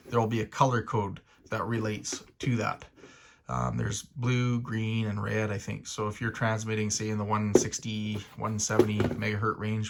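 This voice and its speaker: A Canadian man giving a casual, unscripted product explainer as a channel host, with halting, rambling, hedged explanations. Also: lowish voice, a bit bright.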